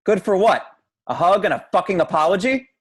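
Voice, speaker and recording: A man's voice: high-pitched, animated, a little fast, slightly rough. A man playing an awkward, resentful grown son in an amateur script read. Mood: bitter.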